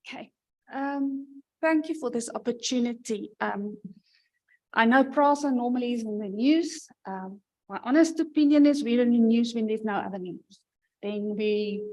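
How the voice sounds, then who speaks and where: A middle-aged woman from South Africa giving a conference presentation in a loud, emphatic voice. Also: a little high, rough recording.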